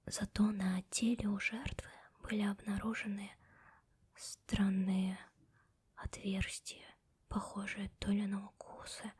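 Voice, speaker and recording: A young woman voicing a stern, sharp-tongued female office boss in an ASMR roleplay, whispering softly close to the mic. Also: medium pitch, husky. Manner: scolding and sarcastic to staff.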